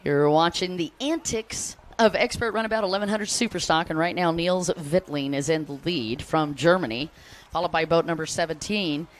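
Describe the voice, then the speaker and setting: American woman commentating a jet ski race. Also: low-pitched. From United States. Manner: chatty, shouts out to fans between race updates. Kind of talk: live sports commentary.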